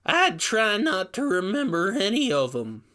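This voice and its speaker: A man voicing a sinister old backwoods captor in a horror story, with a slow, drawling, menacing mumble. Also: sits high, huge pitch swings, sharp tone, voice pushed up, heavily acted. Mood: cold, menacing. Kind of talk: storybook character voice in horror narration.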